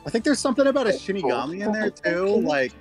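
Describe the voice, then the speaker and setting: Fast, animated, high-pitched man. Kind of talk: casual joking chat. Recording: game music behind the voice.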